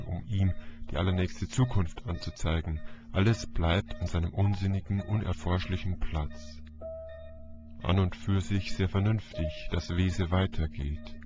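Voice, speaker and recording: A man narrating a literary story as an audiobook reader, in a dramatic reading style. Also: low-pitched. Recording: dull, band-limited recording. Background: music.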